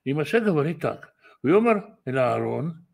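A man praying and then teaching from Scripture, speaking slowly and deliberately, often pausing to correct his own words. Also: fairly high pitch, very animated, gravelly, a little mellow. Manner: slow, measured delivery with frequent hesitations and self-corrections. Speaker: preacher and Bible teacher. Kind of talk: prayer, then a Torah study lesson.

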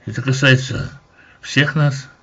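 A man teaching verse by verse through a Bible chapter, in a measured, explanatory way with occasional hesitations. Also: mid-range voice, creaky, fairly bright tone, slightly rough, lo-fi sound.

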